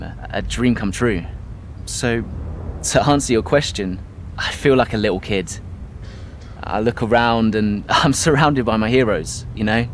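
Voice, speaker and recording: A man's voice: fairly low pitch, a little hiss or hum, close to an everyday voice. An actor playing a humble, eager young male rookie racing driver in a game cutscene. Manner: modest, joking.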